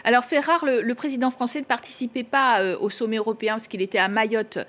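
Higher-voiced woman interviewer. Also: steady tone, fast, fairly bright tone. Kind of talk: broadcast political interview questioning.

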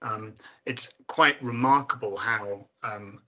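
A man speaking as an expert guest on film and technology, measured and thoughtful, with frequent pauses and filler sounds. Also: on the low side, bright, forceful tone, dull audio. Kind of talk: expert commentary in discussion.

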